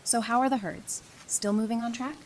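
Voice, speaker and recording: Wry, teasing woman as a quick-witted flirt, noisy recording. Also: steady tone, lightly acted. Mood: playful. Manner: dry, teasing banter. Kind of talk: scripted audio drama acting. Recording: noisy track with low rumble.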